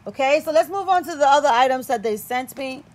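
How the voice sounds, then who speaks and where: A woman chatting casually and excitedly as she unboxes products at a table indoors. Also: high voice, quick pace. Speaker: product reviewer.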